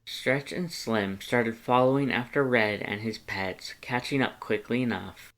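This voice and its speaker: A man's voice: medium pitch, unhurried, fairly bright tone, rough recording. Man reading a story aloud. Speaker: fan fiction reader. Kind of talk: expressive audiobook-style narration with character voices.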